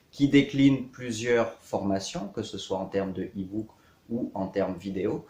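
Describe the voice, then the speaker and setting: A man in his thirties with a fairly low voice speaks as a coach introducing himself to online students. He moves in short, measured phrases with hesitations between them. The room adds a clear echo around his voice. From south-east France. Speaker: horse riding coach. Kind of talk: scripted-outline self-introduction to camera for an online course. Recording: echoey, reverberant room.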